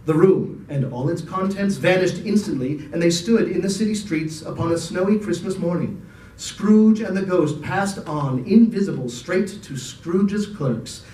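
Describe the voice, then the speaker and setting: A man reading a story aloud as dramatic literary narration, with theatrical, deliberate phrasing. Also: on the high side, dark tone, slight background noise. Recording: echoey room, distant microphone.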